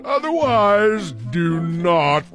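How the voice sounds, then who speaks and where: A mighty, lazy dragon usurper in a cartoon. He drawls pompously and extremely slowly, with big swoops in pitch over faint music. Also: very animated, a bit bright, heavily acted. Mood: pompous, imperious. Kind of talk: animated cartoon voice acting. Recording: music behind the voice.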